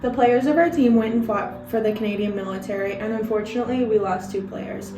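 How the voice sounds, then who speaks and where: A woman's voice: medium pitch, brisk, lightly acted. A young woman playing a proud male hockey team captain in a scripted mock interview, earnestly reading prepared answers. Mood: earnest pride. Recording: roomy echo, far mic, music behind.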